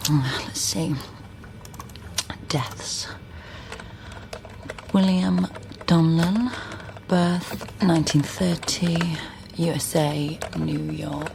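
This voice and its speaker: An actress playing a shrewd, guarded genealogist with a wistful inner side in a naturalistic radio drama. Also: female voice, sits low, fairly even intonation, drawn-out words, vocal fry, background noise, heavily acted. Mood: brisk, thoughtful.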